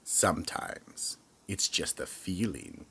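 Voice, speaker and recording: A man narrating scripted horror as storyteller and announcer, slow, theatrical and ominous. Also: deep voice, wildly swooping pitch, creaky, fairly bright tone, breathy edge.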